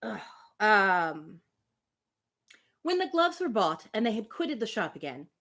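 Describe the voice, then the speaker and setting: A woman's voice: medium pitch, takes it slowly. Woman reading a classic novel aloud. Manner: expressive, acts out dialogue. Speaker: live-stream reader of a classic novel. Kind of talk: live novel read-aloud with casual asides.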